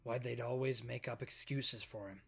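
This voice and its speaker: A man voicing a brooding young male manga villain, narrating his traumatic childhood in a hushed, haunted way. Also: mid-range voice, fairly even intonation, moderate pace, creaky, husky, faint music behind. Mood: bitter.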